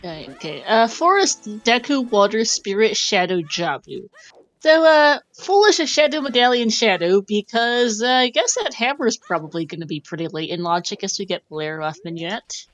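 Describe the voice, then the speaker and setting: A woman doing casual live commentary on a game tournament, chatty and quick to laugh as she reads out clues. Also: medium pitch, big pitch swings, a bit bright.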